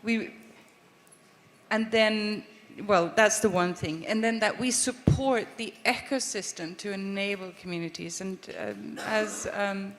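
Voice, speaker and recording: A woman's voice: medium pitch, unhurried. Hesitant Swedish-born woman panelist, echoey hall. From Sweden. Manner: thinking aloud, with many ums, restarts and sighs. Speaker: panelist from a government aid agency. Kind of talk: panel discussion answer.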